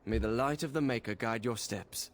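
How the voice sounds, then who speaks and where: A man's voice: mid-range voice, slight background noise, natural voice. Casting: male actor as dignified fantasy-game templar, mid-range voice, grave and courteous, ceremonial phrasing. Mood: formal, welcoming. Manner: formal, measured, grave. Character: solemn, kindly male Chantry templar guard.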